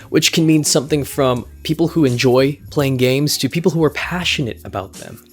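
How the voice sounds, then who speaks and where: Wry young man giving a speech. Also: on the high side. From United States. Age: young adult. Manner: casual and wry, slipping into slang and mock-dramatic asides. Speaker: student giving a class speech. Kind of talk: recorded speech, scripted but jokey.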